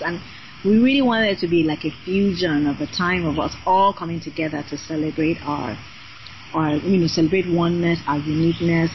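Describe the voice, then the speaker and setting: An energetic woman of Nigerian heritage, a singer and designer, chatting freely as a podcast guest with many fillers. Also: fairly low pitch, dull audio, slight background noise. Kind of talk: casual interview chat.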